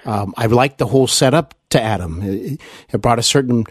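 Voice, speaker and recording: A man's voice: medium pitch, fairly quick, faint music behind. A man hosting a conversational interview podcast, attentive and earnest.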